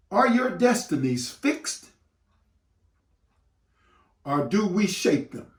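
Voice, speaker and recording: Male educator, lively intonation, deliberate and emphatic with dramatic pauses, recorded somewhat distant from the mic. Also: high voice. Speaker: educator hosting a read-aloud for students and parents. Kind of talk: read-aloud lesson addressed to students. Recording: roomy echo, distant microphone.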